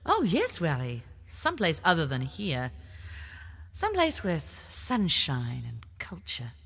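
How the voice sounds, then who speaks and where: A woman's voice: deep voice, wildly swooping pitch, slow, creaky, fairly bright tone, slightly rough, full character voice. A woman playing a restless, wry field agent in an audio drama, with a theatrical, sardonic manner. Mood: wistful, teasing. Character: restless, wry female field agent, bored of desk work. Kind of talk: full-cast audio drama acting. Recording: long reverberant hall echo.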